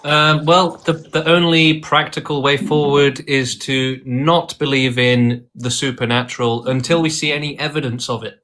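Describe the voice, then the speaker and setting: A man's voice: medium pitch, steady tone, moderate pace, hardly any pauses, a bit bright. A man hosting a live-streamed debate who calls guests liars bluntly, reads the chat and moves the show along in a combative, dismissive way. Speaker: livestream debate host.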